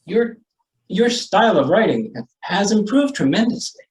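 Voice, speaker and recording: A man's voice: high-pitched, sing-song, a little slow, recorded from a distance. A man playing a flustered, placating newspaper editor in an old-time radio comedy, hemming and dryly wisecracking. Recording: roomy stage, away from mic.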